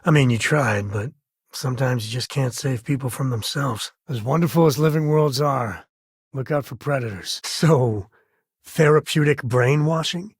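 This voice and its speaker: Really raspy space cowboy dad for a game, wry n folksy, caring, super dry close sound. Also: male voice, medium pitch, moderate pace, dry recording. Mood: wry, concerned. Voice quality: husky, raspy. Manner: folksy drawl-like phrasing, wry and conversational. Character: rugged, wry, caring space-frontier explorer and protective father. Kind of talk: video game companion dialogue.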